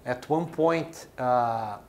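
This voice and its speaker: A man's voice: mid-range voice, drawn-out words, a little hiss or hum. A man in his forties from Brazil, a company chief explaining his business in an interview, with short emphatic phrases. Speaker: chief executive of a brewing company.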